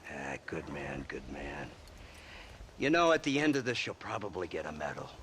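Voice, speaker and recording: Self-satisfied lawman, needling and mocking; low voice, lively intonation, game cutscene. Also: normal speed, a little hiss or hum, exaggerated voice. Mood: smug, mocking. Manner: mocking, smug. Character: sneering, self-satisfied government agent needling his hired gun. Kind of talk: video game cutscene acting.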